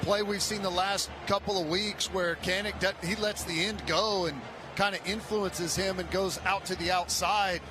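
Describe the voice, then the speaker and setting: A man's voice: high-pitched, fairly even intonation, normal speed. An American man giving conversational, explanatory color commentary on a live football radio broadcast. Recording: noisy stadium radio broadcast.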